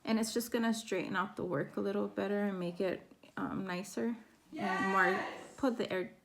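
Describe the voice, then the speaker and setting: A woman teaching a crochet pattern step by step, her voice raspy from a sore throat as she counts stitches aloud. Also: medium pitch, steady tone, moderate pace, background noise. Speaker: crochet instructor. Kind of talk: step-by-step craft tutorial.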